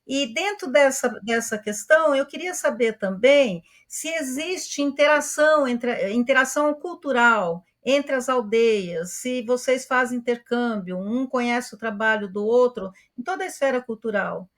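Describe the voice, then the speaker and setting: A woman's voice: fairly high pitch, a little slow, few pauses. A woman who is a teacher, asking a guest speaker a long, courteous question. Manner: polite, elaborate phrasing.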